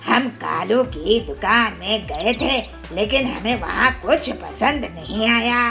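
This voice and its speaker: A woman's voice: mid-range voice, lively intonation, takes it slowly, gravelly, over music. A woman voicing a wealthy, demanding village-chief bird in a children's cartoon, speaking commandingly.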